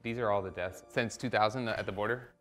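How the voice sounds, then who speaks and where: A man's voice: on the low side, steady tone, fast talker, fairly bright tone, faint music behind. A man in his thirties from Costa Rica, an artist talking reflectively in a documentary interview, with many fillers and restarts.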